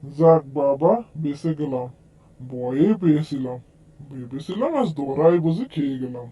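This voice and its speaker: A man's voice: medium pitch, moderate pace, warm tone, natural voice. A man acting a spiteful, scheming village landlord. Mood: relieved. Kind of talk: amateur cartoon voice acting.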